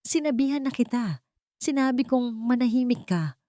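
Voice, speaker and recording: A woman's voice: fairly high pitch, animated, moderate pace, mellow, no room sound, clean studio sound, voice pushed up, close to an everyday voice. Woman voicing a cold, dismissive husband. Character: cold, uncaring husband, stern and dismissive man. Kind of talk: dramatic audio story.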